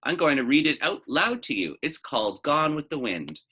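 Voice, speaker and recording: A man's voice: fairly high pitch, very animated, moderate pace, pushed and bright, husky, pitched above the performer's own voice, exaggerated voice. A man reading the part of an earnest, eager, stubborn young girl in a storybook, plain-spoken and insistent.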